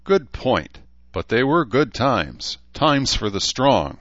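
A man performs a proud, sardonic male villain of a fantasy story, pitched above his own low voice. The delivery is mocking and grandiose, in a roomy, slightly dull recording. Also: very animated, slow, a bit bright, heavily acted. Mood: wry, grim. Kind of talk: fantasy audiobook character voice.

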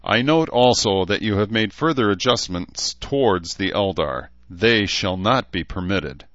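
A man's voice: fairly low pitch, animated, measured pace, a bit bright, pitched above the performer's own voice. Fantasy audiobook elf lord, played by a man: ancient, haughty, formal, imperious. Dull, roomy sound. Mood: stern, cold. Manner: formal, imperious, archaic phrasing.

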